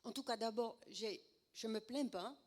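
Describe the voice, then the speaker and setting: Woman, Dutch accent, sore throat, halting, slightly high voice, church talk. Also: darkish tone. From Netherlands. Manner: hesitant, many fillers and restarts. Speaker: guest speaker.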